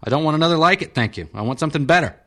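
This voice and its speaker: A man reading an audiobook, voicing an irritable, restless male senator in his fifties who talks in sharp, demanding questions. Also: on the high side, lively intonation, very fast, voice pushed up. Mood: peevish.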